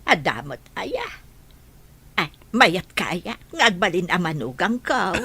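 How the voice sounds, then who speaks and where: A woman's voice: fairly low pitch, very animated, takes it slowly, bright, forceful tone, breathy edge, heavily acted. A woman playing an elderly, approving grandmother with an Ilocano accent in an audio drama. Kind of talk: Ilocano radio-style drama acting.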